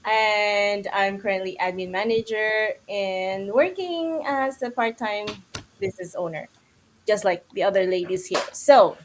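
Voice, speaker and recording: A woman's voice: mid-range voice, unhurried, slight background noise. A woman with a Filipino accent speaking as a guest on a livestream, giving an informal motivational talk in a chatty, self-deprecating way with questions to listeners. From Philippines.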